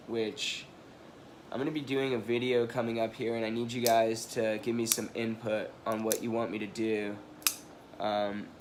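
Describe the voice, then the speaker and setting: A man's voice: lowish voice, a little hiss or hum. A man with a stuffed-up nose from allergies or a cold, rambling apologetically through a casual product review with many fillers and restarts. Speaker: knife review vlogger.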